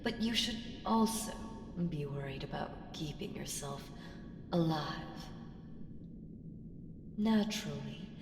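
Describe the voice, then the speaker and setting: A woman playing an ancient evil entity in an ASMR audio roleplay, seductive, menacing and playfully condescending, with teasing, drawn-out murmurs that slip into whispers. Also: deep voice, expressive, background noise, exaggerated voice. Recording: echoing reverb with music underneath. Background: music.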